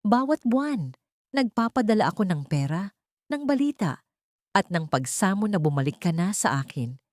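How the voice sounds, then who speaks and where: A woman's voice: mid-range voice, very animated, moderate pace, a little mellow, no echo, clean studio sound, lightly acted. A woman acting a solemn, wealthy old father. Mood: pained, pleading. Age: older. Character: wealthy old father, remorseful, cold and vengeful. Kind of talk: dramatic audio story dialogue.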